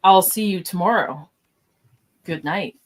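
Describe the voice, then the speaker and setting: A woman playing a calm, gently flirtatious male first officer in an amateur sci-fi radio play. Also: lowish voice, a little slow, natural voice. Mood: gentle, curious.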